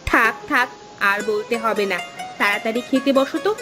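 A woman playing a caring, traditional village wife in a cartoon, with a brisk, fond, chiding manner. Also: on the high side, over music, natural voice. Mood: teasing. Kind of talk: cartoon voice acting.

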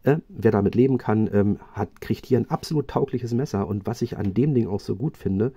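A man reviewing knives in a chatty, casual way, often pausing with fillers and restarting his sentences. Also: fairly low pitch, dark tone, slightly rough, no room sound. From Germany. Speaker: knife reviewer. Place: indoors at a table, hands-only video.

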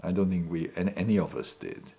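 A man's voice: low-pitched, brisk, vocal fry, warm tone, slightly rough. A man in his sixties, not a native English speaker, recalling the past in a seated interview in a living room, hesitant with many restarts. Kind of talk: reflective recollection. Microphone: off-camera, seated interview.

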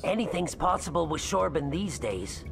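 A man's voice: on the high side, sing-song, normal speed, vocal fry. A man playing a nervous, paranoid alien in a video game, hesitant, anxious and insistent as he pleads for help. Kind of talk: video game character dialogue.

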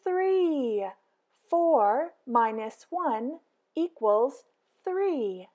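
A high-voiced woman reads a children's picture book aloud, very slowly and in a sing-song way. Her pitch leaps around, and she draws out big excited exclamations. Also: muffled recording. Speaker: storybook reader.

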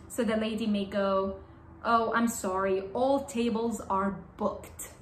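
A young woman whose first language is Arabic, speaking straight to the camera as an English teacher and repeating key phrases slowly and clearly. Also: medium pitch, fairly even intonation. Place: small bright indoor room. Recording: roomy indoor sound with faint room hum.

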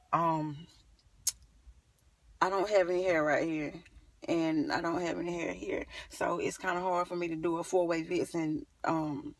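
A woman's voice: on the low side, dry recording. A young woman talking casually to camera as a beauty vlogger, informal and rambling as she thinks aloud. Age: young adult. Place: inside a parked car. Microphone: phone at arm's length. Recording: slightly distant phone recording.

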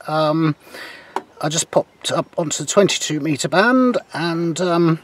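Older British male presenter, sixties, fairly high voice, halting hands-on explainer, recorded outdoors with some background noise. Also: far from the mic. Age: 60s. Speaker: presenter of radio antenna demonstration. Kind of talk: casual unscripted practical demonstration. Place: outdoors in a large grassy garden. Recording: outdoor camcorder, clear background ambient noise.

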